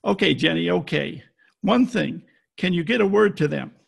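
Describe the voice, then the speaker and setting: Male actor as hardboiled radio detective: husky, heavy fry, clipped slangy delivery, wisecracking. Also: high voice, moderate pace, exaggerated voice. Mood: hard, pressing. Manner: clipped slangy tough talk. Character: tough, wisecracking hardboiled private detective, adult man. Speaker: amateur radio play actor. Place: at home, recorded over video call.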